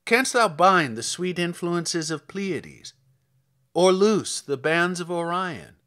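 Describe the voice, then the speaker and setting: A man's voice: sits high, animated, slow, bright, forceful tone, heavily acted. A man reading scripture as a stern, commanding God, declaiming solemn rhetorical challenges in archaic English.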